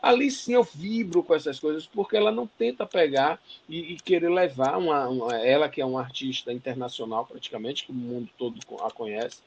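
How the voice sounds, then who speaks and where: A man's voice: on the high side, fairly quick, gravelly, few pauses. A man with a Bahian Brazilian accent answers interview questions with fervor, his voice rising on the points he stresses. He keeps tagging his sentences with little questions and stumbles into restarts as his thoughts run ahead. The audio sounds a touch muffled. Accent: Bahian Brazilian Portuguese. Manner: passionate, emphatic, frequent "né" tags and restarts. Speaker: interview guest. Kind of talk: conversational interview.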